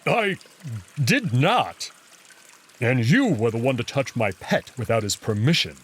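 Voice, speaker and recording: A man voicing a big male wolf in a visual novel, gruff and indignant, with a blunt, growling, confrontational manner. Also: medium pitch, animated, unhurried.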